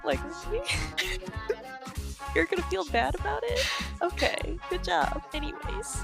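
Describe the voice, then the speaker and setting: A woman's voice: very high-pitched, lively intonation, slow pace, gravelly, over music. A woman player gushing in casual chat about a tabletop game. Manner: gushing, giggly.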